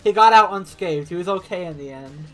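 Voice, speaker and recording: A young woman co-hosting a casual movie review chat, enthusiastic and halting, often repeating herself. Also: on the low side, lively intonation, crowd noise, rough recording. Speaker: podcast co-host.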